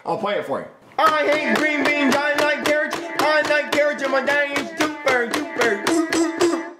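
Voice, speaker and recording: A man's voice: high-pitched, wildly swooping pitch, moderate pace, echoey room, over loud music. A man voicing a bratty, dim, mischievous little boy puppet in a comedy skit, whiny and stubborn. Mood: mischievous, showing off. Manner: whiny, stubborn, often screaming outbursts.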